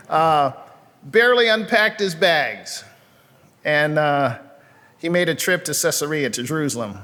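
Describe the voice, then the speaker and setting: Male pastor, slightly high voice, conversational sermon delivery, lingering reverb of a church hall. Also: fairly bright tone. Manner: conversational, with asides and frequent fillers. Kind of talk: sermon with scripture reading. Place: church sanctuary.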